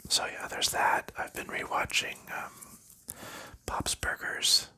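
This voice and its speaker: A man whispers for an ASMR video in a very bright, airy tone. His talk rambles at an unhurried pace, full of little fillers and soft laughs. He chats as he sorts board game pieces. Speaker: ASMR creator.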